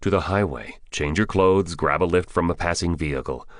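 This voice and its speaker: A terse male soldier, as a man voices him in an audiobook, speaking in short, clipped commands. His voice sits very low and steady. The sound is dry with no room echo. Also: moderate pace, natural voice. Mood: commanding. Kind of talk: audiobook character voice.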